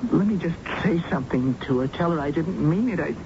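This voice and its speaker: An actor playing an anguished, guilt-ridden son, pleading and stammering in a radio drama. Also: male voice, a little high, expressive, a little fast. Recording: dull, noisy old radio broadcast.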